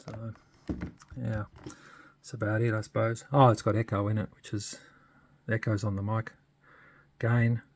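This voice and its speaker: A man with a lowish voice and a gravelly, creaky edge talks through a hands-on hobby demonstration. He sounds husky and unscripted, pausing to think aloud. His delivery is casual, hesitant and understated. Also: flat delivery. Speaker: radio hobbyist presenting a modification demo.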